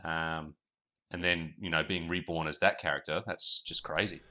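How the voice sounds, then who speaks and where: A man co-hosting a podcast in casual, agreeable chat, sometimes halting and reaching for his words. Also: rumbling low, steady tone, pushed and bright. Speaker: podcast co-host. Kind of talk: casual pop-culture chat.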